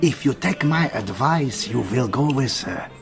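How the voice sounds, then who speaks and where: An actor playing a small, sly German wartime agent with a German accent, speaking deliberately in an audio drama. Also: male voice, medium pitch, animated, music in the background. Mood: probing, assured. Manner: deliberate, courting, peppers speech with German words.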